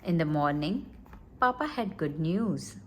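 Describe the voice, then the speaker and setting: A woman reading a children's storybook aloud in an expressive, deliberate manner. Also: deep voice, slight background noise. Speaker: storybook reader.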